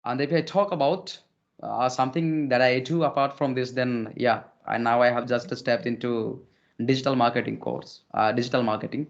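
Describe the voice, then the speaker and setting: A man from Odisha with an Indian English accent, speaking politely as a guest, repeating words and filling pauses. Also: medium pitch, fairly even intonation, creaky, highs cut off. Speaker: interview guest, English learner. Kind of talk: casual conversational interview.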